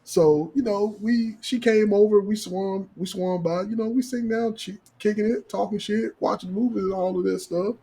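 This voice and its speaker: A man with a husky voice telling a casual podcast story in slangy, profane talk, acting out the dialogue. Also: high voice, steady tone, normal speed, few pauses, warm tone, poor audio. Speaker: podcast co-host.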